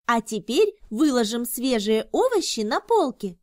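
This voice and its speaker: Female narrator, toddler learning cartoons: high voice, unhurried, enthusiastic, clearly enunciated scripted read. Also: big pitch swings, no room sound, clean studio sound. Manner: enthusiastic, instructive, carefully enunciated. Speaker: narrator of children's educational cartoons.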